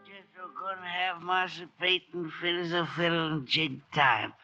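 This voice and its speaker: A man acting a humble, gentle freed slave in an old-time radio drama, with a folksy, deferential Southern US accent. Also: fairly high pitch, steady tone, a little slow, over loud music. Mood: folksy deference. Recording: muffled vintage radio recording.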